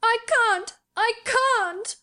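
A woman's voice: very high voice, bright, forceful tone, pitched above the performer's own voice, full character voice. A woman voicing a hysterical, sickly young boy in a storybook, gasping and crying as the words come out. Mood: sobbing. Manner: gasping, halting, sobbing.

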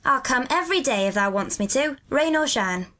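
A woman's voice: fairly high pitch, normal speed, a bit bright, voice pushed up. A woman voicing a cheerful, earthy twelve-year-old country boy in a storybook, with a hearty, good-natured Yorkshire dialect. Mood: eager, happy.

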